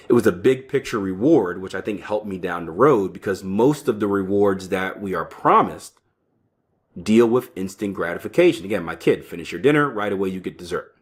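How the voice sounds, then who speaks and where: A man in his early thirties hosting a podcast, talking casually with frequent "right?" tags and restarts. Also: low voice. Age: 30s. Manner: conversational, keeps tagging lines with "right?"; stumbling restarts and fillers, offhand asides. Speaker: podcast host. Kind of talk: casual solo talk on trading psychology.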